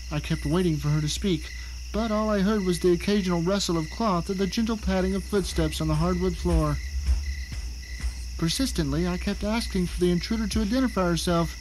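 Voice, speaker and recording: A man's voice: high voice, a little hiss or hum, lightly acted, rough recording. A man playing a blind, paranoid, self-important middle-aged captive, narrating an audio drama in a theatrical, suspenseful way. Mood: somber, tense.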